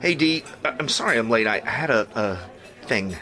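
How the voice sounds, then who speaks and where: A man's voice: mid-range voice, expressive, normal speed, over music, natural voice. A man playing a middle-aged superhero in an audio drama, reassuring but weary and wryly self-deprecating. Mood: awkward, polite.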